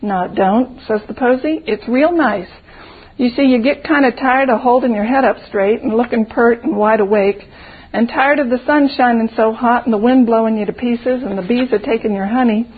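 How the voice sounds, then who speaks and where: A woman voicing a small, cheerful storybook flower with a thin, soft voice and a folksy old-time country dialect. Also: fairly high pitch, normal speed, breathy edge, narrow, tinny audio. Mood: cheerful, contented. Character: small cheerful talking flower, laughing at death.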